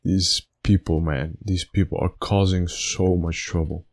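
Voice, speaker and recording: A man with a slightly raspy, stuffed-up voice giving casual gameplay commentary, thinking aloud in a halting way. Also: deep voice, steady tone. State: stuffy nose after being sick. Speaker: gaming video host.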